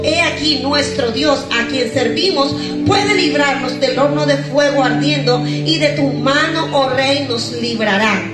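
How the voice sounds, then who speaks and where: A woman in her thirties preaching a fiery sermon on a handheld mic, shouting and half-singing her lines, breaking into bursts of tongues. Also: sits high. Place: large bare concrete courtyard church, outdoors at night. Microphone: handheld microphone. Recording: echoey open space with loud music behind.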